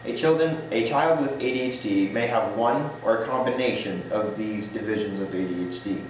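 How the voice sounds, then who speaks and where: A man giving a prepared informative student speech in a formal, halting way, stumbling over words as he partly reads it aloud. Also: fairly low pitch. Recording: very echoey room, muffled, faint noise.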